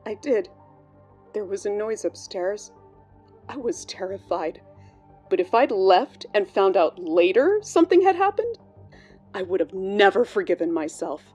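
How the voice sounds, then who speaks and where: An actress plays a worried witness in an audio drama interview. Her husky, mid-pitched voice is earnest and confiding as she recounts something that shook her, with music underneath. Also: big pitch swings, measured pace, warm tone. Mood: haunted, frightened. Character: shaken, worried female bank colleague recounting a horror.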